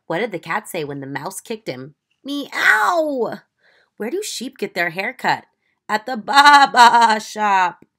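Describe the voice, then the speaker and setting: An excitable chatterbox of a little boy mouse from a storybook, acted out by a woman reader. The voice is bright and bouncy, with eager, exaggerated swoops in pitch. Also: slow pace, heavily acted. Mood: jokey.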